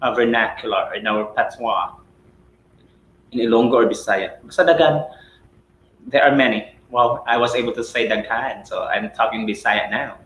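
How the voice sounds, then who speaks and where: A man's voice: medium pitch, normal speed, a bit bright, reverberant, poor audio. A man from the Philippines with a Filipino accent, whose first language is Cebuano, teaching a live English lesson in a chatty way, with lots of "right?" and "okay?". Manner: chatty, rhetorical questions with tag "right?" and "okay?".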